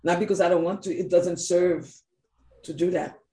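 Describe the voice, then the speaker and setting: A woman's voice: fairly low pitch, steady tone, moderate pace, mellow. A woman who speaks English as a second language, talking earnestly and emphatically as a coach in a casual conversational interview, repeating her key phrases. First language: not English. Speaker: team coach. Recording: roomy echo.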